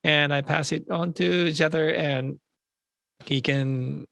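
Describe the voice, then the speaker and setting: A man with a fairly high, gravelly-edged voice explains his work informally on a video call. He is loose and self-deprecating, and the sound is dry with little room. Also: steady tone, slow pace, a bit bright, dry recording. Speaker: panelist, orchestrator.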